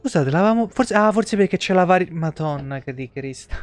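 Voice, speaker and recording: Italian male game streamer, thirties, smooth clear voice, dry sound, sarcastic and sweary. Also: high voice, expressive, fairly quick, no echo, faint music behind. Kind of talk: casual live-stream commentary on a role-playing game.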